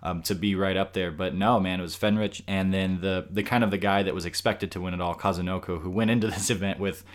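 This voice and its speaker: Lower-voiced man co-hosting a podcast. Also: fast talker. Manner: animated, thinking aloud with restarts. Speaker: podcast co-host. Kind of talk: casual podcast chat.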